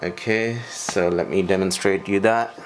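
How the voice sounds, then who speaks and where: Low-pitched man, slow halting explainer. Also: fairly even intonation. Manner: halting, broken phrasing with frequent hesitations. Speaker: tutorial presenter. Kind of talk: hands-on equipment tutorial, unscripted explanation. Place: small bedroom, indoors. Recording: home video with noticeable background noise.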